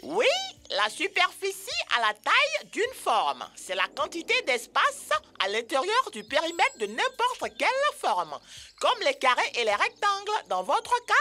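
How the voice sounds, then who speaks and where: A mother-bird teacher in an educational cartoon. Her voice is high and bright, with big swings in pitch. She explains things expressively, helpful but firm. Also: unhurried, faint music behind, heavily acted. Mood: instructive. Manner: expressive, instructive. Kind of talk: animated educational cartoon dub.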